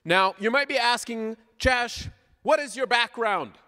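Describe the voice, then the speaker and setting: A man's voice: very high-pitched, measured pace, pushed and bright. A man with a Canadian accent gives an informal, playful sermon, conversational and full of questions to his listeners, in a large church hall. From Southern Ontario, Canada. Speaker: guest preacher. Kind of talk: informal sermon with audience interaction. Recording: live hall with long reverb.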